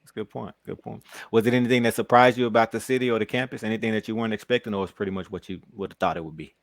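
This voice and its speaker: A man's voice: lowish voice, fairly even intonation, racing, no room sound, studio quality. A man interviewing a young football player, asking friendly questions and teasing him a little. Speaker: podcast interviewer. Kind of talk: casual sports interview.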